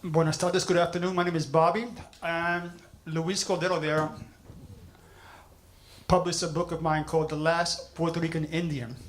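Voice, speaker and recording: A man, a poet, speaking to a gallery audience in a measured, declarative way, dropping in Spanish words. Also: on the high side, little pitch movement, a little hiss or hum. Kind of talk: speaking at an artist reception. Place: gallery reception room.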